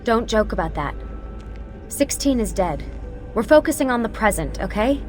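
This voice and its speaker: A woman's voice: a little high, moderate pace, faint music behind. An actress in a video game playing a composed, serious young female team leader with an American accent. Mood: concerned. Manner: earnest, steady.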